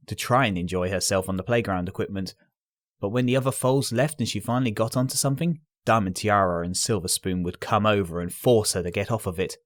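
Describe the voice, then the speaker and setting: Low-voiced man narrating, close and dry. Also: no echo. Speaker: story narrator. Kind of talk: dramatic scripted fan fiction narration.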